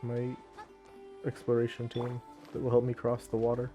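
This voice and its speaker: Mellow man musing over a video game. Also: mid-range voice, monotone, normal speed, muffled recording. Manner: musing, thinking aloud. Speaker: let's play host. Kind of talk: casual gameplay commentary. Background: game music.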